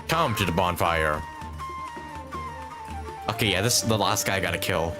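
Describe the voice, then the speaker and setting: A man's voice: sits low, moderate pace, over music. A man doing casual live commentary as a game streamer, thinking aloud as he plays and swearing casually.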